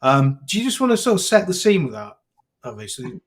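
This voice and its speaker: A middle-aged man hosting a podcast interview, talking casually and haltingly, often repeating words. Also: mid-range voice, big pitch swings. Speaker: podcast host.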